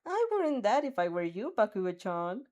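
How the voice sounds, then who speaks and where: A woman's voice: mid-range voice, big pitch swings, moderate pace, a little mellow, close to an everyday voice. A woman voicing a cocky young heroine with shadow powers, speaking in a teasing, playful way.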